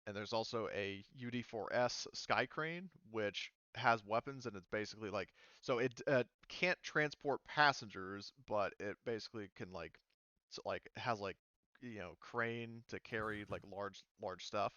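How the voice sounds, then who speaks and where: A man's voice: lowish voice, measured pace, bright, forceful tone, clean tone, no echo, lo-fi sound. A man running a tabletop game as game master, talking loosely with many fillers over a voice call.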